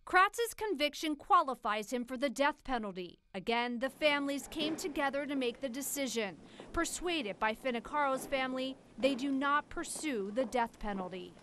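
American female news narrator, high register, scripted documentary delivery. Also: animated, normal speed, pushed and bright, slight background noise.

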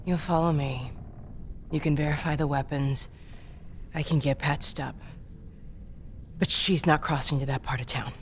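An actress playing a steely resistance leader in a video game cutscene, firm, measured and authoritative. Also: female voice, low-pitched, steady tone, a little hiss or hum, natural voice.

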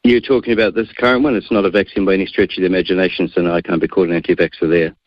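A man's voice: deep voice, flat delivery, rapid-fire, no echo, dull audio. A middle-aged man with a New Zealand accent, answering radio interview questions assertively and defiantly. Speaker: guest.

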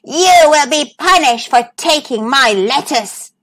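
A harsh old witch from a children's storybook speaks slowly and sternly, as a woman reader puts her on. Her threats come out measured and menacing, with her voice rising high on the calls. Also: expressive, a bit bright, exaggerated voice.

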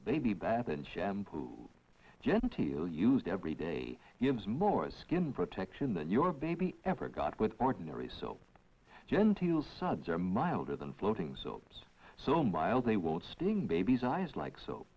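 Low male announcer with sweeping intonation. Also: wildly swooping pitch, a little slow, breathy edge. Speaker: commercial announcer. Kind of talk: 1960s TV commercial voice-over, scripted narration. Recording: dull vintage TV film soundtrack.

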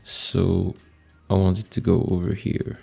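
A man with a low, creaky voice narrates a casual software tutorial. He explains each step informally, close to the microphone. Faint music plays behind him. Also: level tone, a little slow. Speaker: tutorial presenter. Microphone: close desktop microphone voice-over.

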